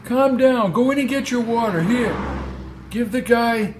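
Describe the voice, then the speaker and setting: A man's voice: very high voice, unhurried, background noise, voice pushed up. A man voicing an older, fatherly male driver in an audio story, placating and folksy.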